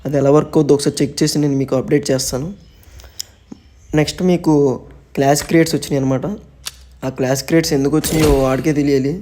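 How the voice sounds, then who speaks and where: Quick-talking man, flat delivery. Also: on the high side. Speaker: gaming channel host. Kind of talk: casual game update commentary.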